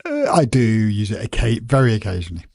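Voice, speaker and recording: Mellow low-voiced man, easygoing. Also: huge pitch swings, quick pace, vocal fry, dry recording, clean studio sound. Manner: easygoing, agreeable, chatty. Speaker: podcast co-host. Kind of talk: casual tech chat.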